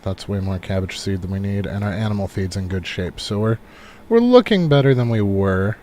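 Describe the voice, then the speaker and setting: A man giving relaxed, rambling live commentary on a game he is playing, thinking aloud as he goes, while recovering from a cold. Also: fairly low pitch, normal speed, a little hiss or hum. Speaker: game streamer. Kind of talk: casual live gameplay commentary.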